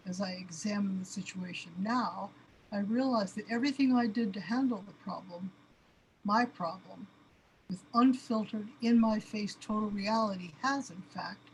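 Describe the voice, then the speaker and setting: A woman's voice: medium pitch, darkish tone, a little hiss or hum, lightly acted, rough recording. A woman acting in a radio play as an overwhelmed, panicky cosmic being, anguished and questioning. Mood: agitated. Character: overwhelmed, panicky cosmic being trapped in its own space body.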